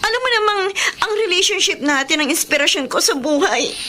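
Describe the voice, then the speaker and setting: A woman's voice: high-pitched, expressive, fairly quick. A woman playing a touchy, sulky young girlfriend in a radio romance drama, with a Filipino accent and an emotional, reproachful delivery. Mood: hurt, pleading. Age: young adult. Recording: noisy radio drama broadcast.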